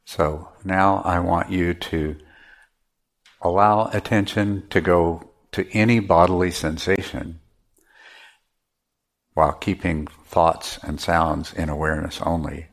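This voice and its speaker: A man teaching meditation, giving measured guided instructions with pauses between phrases. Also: low voice, steady tone.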